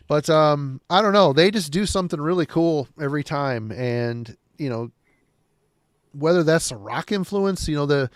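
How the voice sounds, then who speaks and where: A man's voice: mid-range voice, no room sound, studio quality. A man from the United States chatting loosely on a podcast, rambling and enthusiastic with mild swearing. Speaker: podcast guest. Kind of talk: casual podcast chat about metal albums.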